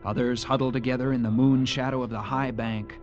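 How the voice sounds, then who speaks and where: An earnest young cavalry officer with a streak of bitterness, played by a man. His voice sits at a middle pitch in mid-century radio style. The old recording carries some music. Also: flat delivery, fairly quick, darkish tone, highs cut off, natural voice. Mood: weary, grave narration. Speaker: actor in a radio drama, also narrating in character. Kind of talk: 1940s-50s radio acting.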